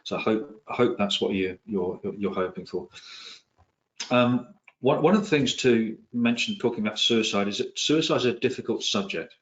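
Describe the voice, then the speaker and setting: A middle-aged man from England, his accent softened by years in New Zealand, giving an online talk as a psychiatrist, with many ums, restarts and dry asides. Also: on the low side, normal speed, dull audio. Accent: English, with some New Zealand influence. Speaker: psychiatrist and presenter. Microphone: video call.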